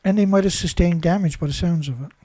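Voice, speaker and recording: A man's voice: high-pitched, quick pace, dark tone, dry recording. Rambling indignant man, dry sound. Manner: rambling, indignant, many restarts and "right?" tags. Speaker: commentator reading news. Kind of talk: casual monologue reading headlines with commentary.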